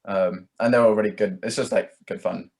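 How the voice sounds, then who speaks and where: Low-voiced young man, flat and rambling. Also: fairly quick, vocal fry. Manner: rambling, hedging, peppers talk with "like" and "kind of". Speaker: musician, interview guest. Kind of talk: casual chat.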